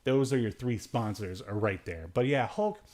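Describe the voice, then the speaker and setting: A man's voice: mid-range voice, normal speed, darkish tone. A man hosting a podcast, casually reviewing a movie in a rambling, joking way with sarcastic asides. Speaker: podcast host.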